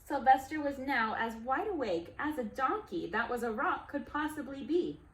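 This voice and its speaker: A woman reading a picture book aloud to young children, expressive and gentle, with friendly asides to her listeners. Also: high-pitched, moderate pace. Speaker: storyteller and teacher for young children.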